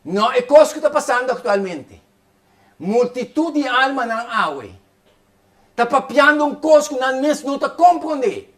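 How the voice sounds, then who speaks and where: A man's voice: very high-pitched, expressive, moderate pace, fairly bright tone. A man preacher delivering a fervent sermon, repeating phrases as he builds his point.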